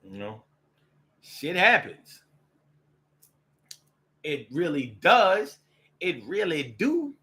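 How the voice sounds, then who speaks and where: A man's voice: on the high side, huge pitch swings, very slow, long pauses, sharp tone. An American man giving a casual, opinionated solo monologue in streetwise slang, repeating phrases for emphasis. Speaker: solo show host.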